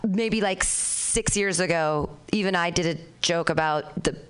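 A woman's voice: fairly low pitch, a little slow, fairly bright tone, clear, resonant tone, poor audio. A woman hosting a comedy showcase, giving hesitant feedback with many fillers and restarts. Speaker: show host and comedy showcase emcee. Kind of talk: casual feedback chat.

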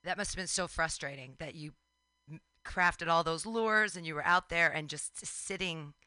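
An interview host asking eager, chatty questions and reacting quickly. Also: medium pitch, bright, forceful tone, clean tone, no echo. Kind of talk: casual interview chat.

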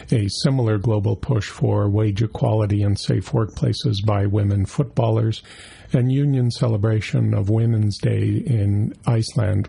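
A man reporting labor news from a script with a steady read delivery. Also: lowish voice, vocal fry, slightly rough.

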